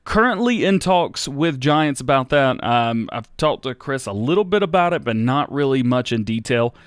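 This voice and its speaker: An American man in his thirties, hosting a casual Q&A on his gaming channel, reading out viewers' questions and answering in a relaxed, rambling way. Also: medium pitch, moderate pace, smooth, no echo, clean studio sound. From United States. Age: 30s. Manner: relaxed and rambling, with many fillers and restarts. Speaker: YouTube gaming host.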